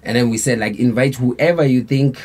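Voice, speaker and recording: Husky dark-voiced South African man. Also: medium pitch, a little fast. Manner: laid-back, rambling, peppered with slang fillers. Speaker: band member, guest. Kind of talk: casual storytelling interview.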